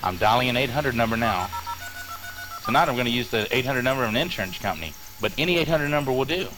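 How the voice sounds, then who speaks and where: A man's voice: medium pitch, animated, fast talker, low-quality recording. A man giving a step-by-step demonstration in short, emphatic sentences. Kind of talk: excited demonstration, talking listeners through each step. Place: outdoors by a phone booth.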